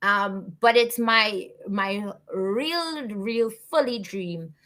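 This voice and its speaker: A woman's voice: medium pitch, extremely slow, a bit bright. A woman guest on a podcast, talking earnestly and reflectively, with frequent fillers and restarts. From Canada. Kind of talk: conversational podcast interview.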